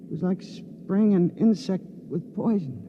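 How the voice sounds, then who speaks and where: Modest little male hero, highish voice, hesitant, polite, 1950s radio drama, tinny noisy recording. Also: unhurried. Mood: shaken, modest. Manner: hesitant, polite, self-effacing.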